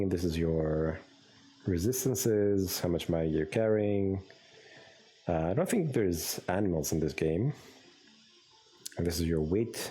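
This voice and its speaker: A man with a Greek accent talks through a game on a live stream in a very deep, low voice. He rambles and thinks aloud, with lots of ums and trailing thoughts. He speaks into a close desk mic indoors at home. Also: mellow, slight background noise. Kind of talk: casual live-stream commentary.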